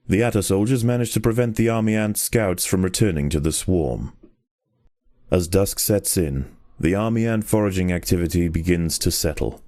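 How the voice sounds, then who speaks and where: A man reading scripted nature documentary narration for a game in a measured, grave and formal storytelling manner. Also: deep voice, dark tone, no echo, clean studio sound.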